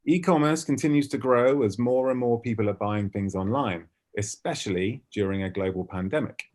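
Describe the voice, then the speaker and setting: A man with a British accent and a fairly low voice delivers a prepared online business presentation. He speaks in a polished, articulate corporate way, as a conference speaker addressing professionals. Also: darkish tone. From United Kingdom. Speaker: conference speaker, ad tech company representative.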